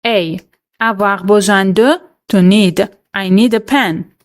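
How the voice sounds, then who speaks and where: Slow, measured woman reading a French quiz. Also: mid-range voice. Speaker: French teacher. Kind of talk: scripted quiz narration.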